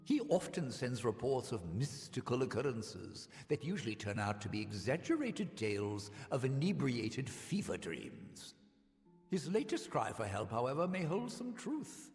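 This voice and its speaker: A man's voice: medium pitch, sing-song, measured pace, darkish tone. A man voicing a haughty senior scholar of magic in a fantasy game, pompous and condescending, with dry, precise wording. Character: haughty male senior scholar of an arcane order. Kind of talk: scripted game dialogue. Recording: long hall reverb, background music.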